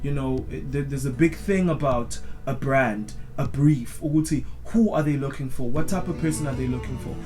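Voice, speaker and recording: A young man with a South African accent and a big, broad voice talks at length in a candid vlog. He is expressive and restarts his sentences often, at a middling pitch. Guitar music plays clearly under his voice. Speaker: vlogger. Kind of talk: candid personal vlog monologue. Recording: music playing clearly behind.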